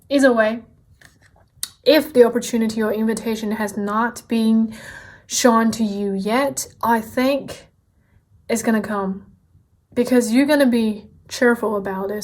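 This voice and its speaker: Slow, high-voiced tarot reader woman. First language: not English. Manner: gentle, encouraging, repeats key phrases, soft laughs. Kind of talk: tarot card reading.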